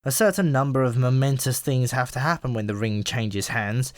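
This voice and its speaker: A man's voice: medium pitch, moderate pace, no room sound, close to an everyday voice. Man as a storybook pigeon, chatty, world-weary, matter-of-fact, offhand, dry sound. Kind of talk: audiobook character voice.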